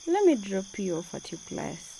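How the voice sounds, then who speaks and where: A woman's voice: medium pitch, very animated, moderate pace, vocal fry, noisy background. A woman playing a proud, weary company boss in an amateur radio drama. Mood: polite.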